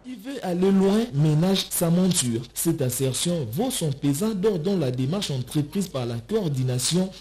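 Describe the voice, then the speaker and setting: A man's voice: a little high, expressive, a little slow, few pauses, darkish tone. A man narrating a scripted news report.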